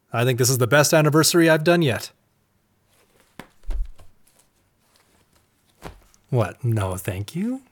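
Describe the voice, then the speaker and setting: A man plays a self-satisfied husband in an audio drama. His voice is medium in pitch with a husky grain. He is earnest and condescending, and turns halting when challenged. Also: natural voice. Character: well-meaning, patronising, self-satisfied husband, easily flustered.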